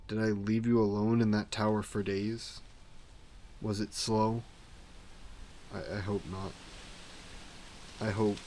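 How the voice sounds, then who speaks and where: A man voicing a grieving vampire king who talks slowly and with remorse about his past. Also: fairly low pitch, a little mellow, slight background noise. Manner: slow, brooding, remorseful. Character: grieving regretful vampire king, former ruler. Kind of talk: audio roleplay narration.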